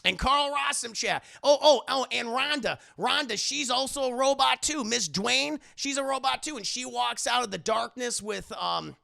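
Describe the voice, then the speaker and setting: A high-pitched male streamer with a very crackly, fry-heavy voice. He recaps a show excitedly for his chat, swearing freely and throwing in acted bits and sound effects. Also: normal speed, hardly any pauses. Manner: excitable, profane, acts out characters and sound effects. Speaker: streamer and animation reviewer. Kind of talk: lively scene-by-scene recap for a live chat.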